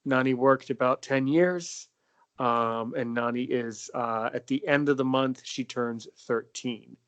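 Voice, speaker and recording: A man's voice: medium pitch, a little slow, a bit bright, clear, resonant tone. A young man hosting a podcast interview, talking casually with many fillers and restarts. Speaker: podcast host.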